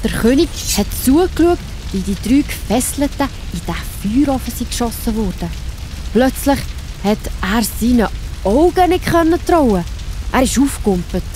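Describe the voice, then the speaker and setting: A woman's voice: a little high, big pitch swings, moderate pace, music in the background. A woman with a Swiss accent narrating a scripted Bible story for children.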